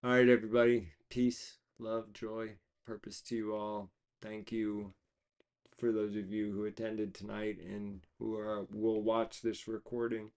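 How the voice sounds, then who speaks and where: A man hosting a casual livestream, rambling with many fillers and restarts, his voice gone hoarse. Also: lowish voice, level tone, unhurried, a little mellow. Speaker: host of an online reading series.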